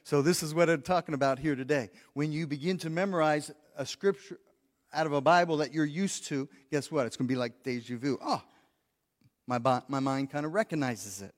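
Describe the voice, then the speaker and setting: A man's voice: on the high side, brisk. A man preaching a practical sermon to his congregation, earnest, often repeating words as he thinks and asking the room questions. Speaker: pastor. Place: church sanctuary. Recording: long church hall reverb.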